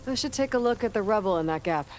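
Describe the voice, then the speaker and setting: An actress playing a young outcast huntress in a video game, practical and matter-of-fact, thinking aloud. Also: female voice, medium pitch, animated, fast talker, lightly acted, low-quality recording. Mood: investigating. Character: young female outcast hunter, capable, curious, pragmatic. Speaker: game protagonist. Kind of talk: naturalistic video game cutscene acting.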